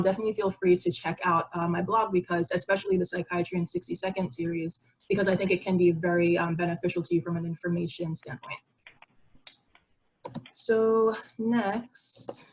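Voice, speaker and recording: A woman's voice: on the low side, husky, rough recording. A young woman giving an informal, explanatory educational presentation in a conversational way over a video call. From Barbados. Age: young adult. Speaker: psychiatry resident giving a presentation. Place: online video meeting with shared slides.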